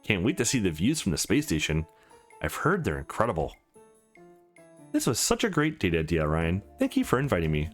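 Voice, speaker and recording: A sweet, bubbly young woman from a visual novel, put on by a man. She speaks eagerly with big swings in pitch, over game music. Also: huge pitch swings, brisk, heavily acted. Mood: thrilled, grateful.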